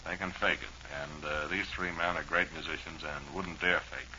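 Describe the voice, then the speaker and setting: A man's voice: rumbling low, fairly even intonation, normal speed, pushed and bright, dull audio, a little hiss or hum. A man hosting a 1940s live radio show, chatting and reading listener mail in a rambling, dryly humorous, mock-serious way, on an old broadcast recording.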